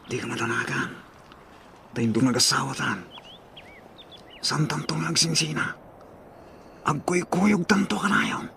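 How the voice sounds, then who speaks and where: Male actor as affectionate young boyfriend, gravelly yet fairly high voice, radio drama, faint noise behind. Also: animated, measured pace, pauses often, pushed and bright, exaggerated voice. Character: young man, a sweet-talking, reassuring boyfriend. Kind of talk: Ilocano radio drama acting.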